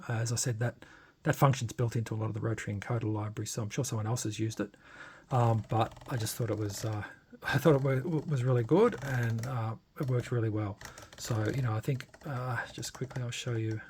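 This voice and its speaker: A man narrating a casual, unscripted hands-on demo, informal and halting as he thinks aloud. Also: medium pitch, a little mellow, slight background noise. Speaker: hobbyist radio modifier presenting a demo.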